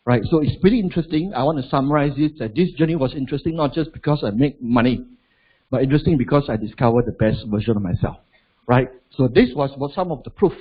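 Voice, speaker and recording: Husky Malaysian man addressing a crowd. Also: mid-range voice, quick pace, lo-fi sound. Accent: Malaysian English. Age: 40s. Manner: chatty, asks the crowd rhetorical questions, adds "lah". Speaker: motivational business speaker. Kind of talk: live seminar talk to an audience.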